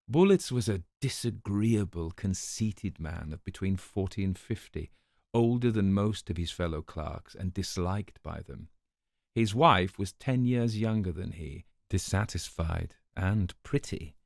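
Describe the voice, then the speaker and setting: An urbane older storyteller in a radio drama. His voice is low and gravelly, recorded close and dry. He speaks with precise, ironic relish, like a sardonic man of the world. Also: no echo.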